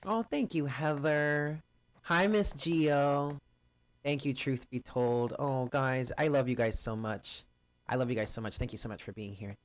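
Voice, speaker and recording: A man hosting a live stream of readings, chatting casually with viewers and greeting them by name in a loud, carrying voice. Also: medium pitch, fairly even intonation, a little slow. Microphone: home stream mic, first too quiet, then louder.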